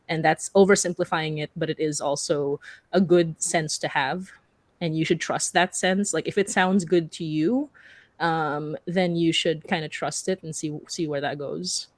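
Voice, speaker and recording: A woman with a low voice and a very dry, close sound talks casually on a panel. Her manner is candid and self-deprecating, like a creator sharing her own experience. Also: dry recording. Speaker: podcast creator and animator, panelist.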